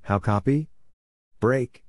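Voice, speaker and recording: A man reads out short scripted phrases as a practice-drill narrator, in clipped, deliberately enunciated pieces. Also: mid-range voice, background noise.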